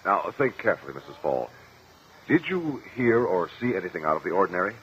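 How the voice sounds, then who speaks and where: An actor playing a shrewd, courteous attorney in a 1950s radio courtroom drama, questioning in a measured, formal, insistent way. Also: male voice, sits low, very animated, a bit bright. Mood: probing. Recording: dull, lo-fi vintage radio recording.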